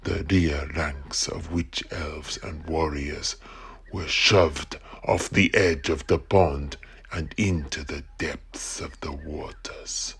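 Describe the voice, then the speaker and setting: A man playing an explorer in an audio drama, grimly recounting a battle in a husky, gravelly voice with deliberate, drawn-out phrasing. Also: rumbling low, steady tone, fairly bright tone, heavily acted. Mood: grave, dramatic. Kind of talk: dramatic audio play narration.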